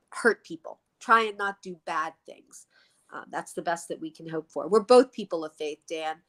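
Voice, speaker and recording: Sixties woman co-host from the Main Line, thoughtful and quick to laugh, casual live talk. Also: lowish voice, pushed and bright. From Philadelphia Main Line, USA. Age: 60s. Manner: reflective, laughs often, repeats words while thinking.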